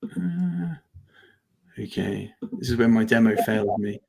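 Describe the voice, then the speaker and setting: A man giving an informal live software demo as a webinar presenter, halting and thinking aloud as he goes. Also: lowish voice, animated, vocal fry.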